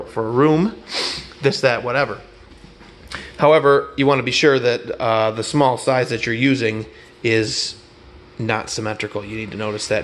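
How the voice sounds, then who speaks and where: Folksy middle-aged carpenter explaining trim work. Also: male voice, mid-range voice. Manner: rambling, folksy, self-correcting explanations. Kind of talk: casual hands-on instruction. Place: empty apartment room under renovation, indoors. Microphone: head-mounted action camera.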